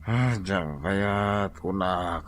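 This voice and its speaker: A man's voice: low voice, takes it slowly, slight background noise. An actor with a Filipino Ilocano accent playing a stubborn, grumbling older father in a radio drama, whining and exclaiming as he complains. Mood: pained, stubborn.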